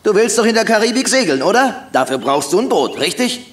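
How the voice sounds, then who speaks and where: A man's voice: high voice, very animated, fairly quick, roomy sound. An actor playing a brash, greedy male soldier with a cocky, needling manner in a video game. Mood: eager, cocky.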